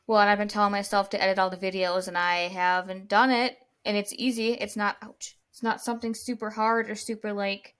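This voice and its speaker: A woman in her thirties co-hosting a casual podcast chat, relaxed and rambling as she talks. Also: mid-range voice, brisk, hardly any pauses, clean tone. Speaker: podcast co-host.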